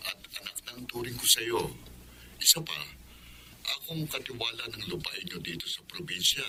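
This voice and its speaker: A man's voice: mid-range voice, expressive, moderate pace, background noise, lightly acted. A man playing a caring, fatherly older uncle who worries over his niece in a Filipino radio drama. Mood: concerned, fatherly.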